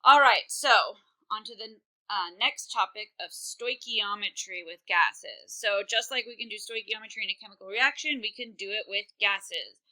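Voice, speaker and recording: A woman teaches chemistry in a recorded online class. She talks in a casual, chatty way with many fillers. Her voice is very bright, and she speaks close to a computer microphone. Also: medium pitch, moderate pace, few pauses, low-quality recording.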